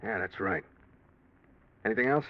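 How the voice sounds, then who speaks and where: An actor playing a tough, streetwise gangster in a 1940s radio crime drama, husky, blunt and slangy. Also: male voice, on the low side, animated, moderate pace, close to an everyday voice. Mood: wary, grumbling. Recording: muffled vintage radio drama recording.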